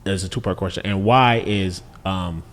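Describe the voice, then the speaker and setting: Man, podcast co-host, rambling, repeats himself, fairly low voice, reverberant room. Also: moderate pace. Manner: rambling, repeats words, fillers, animated when asking questions. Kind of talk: casual chat.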